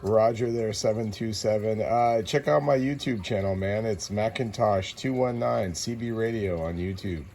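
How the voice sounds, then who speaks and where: A man's voice: fairly low pitch, normal speed, vocal fry, hardly any pauses, warm tone. An American man talking as a CB radio operator, casually making long-distance contact in a laid-back way with call signs. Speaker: CB radio operator and channel host. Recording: noisy CB radio room ambience. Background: radio noise.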